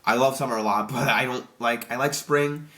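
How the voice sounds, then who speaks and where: Stuffy-nosed young man rambling excitedly. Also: mid-range voice, moderate pace. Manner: rambling, excitable, many restarts. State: stuffy nose from allergies. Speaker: YouTube reviewer. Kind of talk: casual spoiler review.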